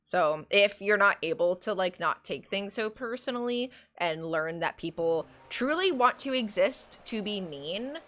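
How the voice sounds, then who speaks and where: A woman's voice: mid-range voice, lively intonation, unhurried, hardly any pauses, a bit bright. A woman streamer with a nasal voice chatting casually while playing, rambling with lots of fillers. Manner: rambling, many fillers, cackling laugh. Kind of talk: casual chat while gaming.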